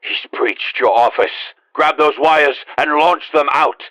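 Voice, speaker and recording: An actor playing a grave, urgent guide in a video game, husky and heard through a radio filter. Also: male voice, a little high, a little slow, sharp tone, dry recording. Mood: urgent, stern. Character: grave, urgent male guide helping the player.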